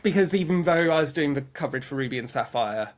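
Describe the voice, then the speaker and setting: Higher-pitched man chatting casually. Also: animated, fast. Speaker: interview guest, fan website webmaster. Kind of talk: casual interview, telling personal anecdotes.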